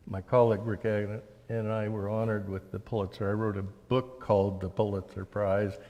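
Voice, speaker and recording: Older american guy, seventies, lowish voice, halting interview answers, lots of hall reverb. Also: level tone, darkish tone. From Oregon, United States. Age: 70s. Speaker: interview guest, author and journalist. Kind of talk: conversational interview, recounting memories.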